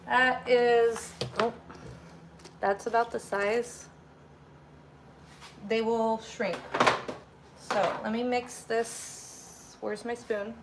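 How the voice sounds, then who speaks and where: A woman hosting a cooking livestream from her home kitchen, with a raspy voice from feeling a little sick, chatting loosely as she explains each step. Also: a little high, level tone, extremely slow, long pauses. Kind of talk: casual cooking livestream chat. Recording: noisy home kitchen recording.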